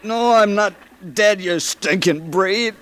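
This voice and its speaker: A man's voice: high-pitched, takes it slowly, fairly bright tone, a little hiss or hum. A man playing a plain-spoken, feisty frontier sidekick in an old-time radio western, blunt and folksy. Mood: pained, defiant. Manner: folksy, blunt, clipped questions.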